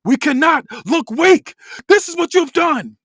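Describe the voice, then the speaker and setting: A man playing a ruthless, anguished wrestling champion and father, yelling and demanding in a story told aloud. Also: very high-pitched, animated, a little slow, dry recording, studio quality, pitched above the performer's own voice, heavily acted. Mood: enraged yelling.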